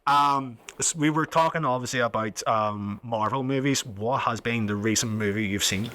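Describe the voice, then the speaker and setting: A man's voice: medium pitch, normal speed, noisy background. A Northern Irish man in his twenties hosting a podcast, teasing his co-host and laughing often in casual chat. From Northern Ireland. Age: 20s.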